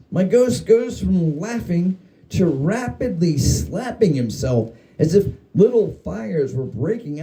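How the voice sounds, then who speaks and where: Very dark-voiced man reading a story theatrically. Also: a little high, poor audio. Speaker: story reader. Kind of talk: dramatic reading of a humorous spooky story.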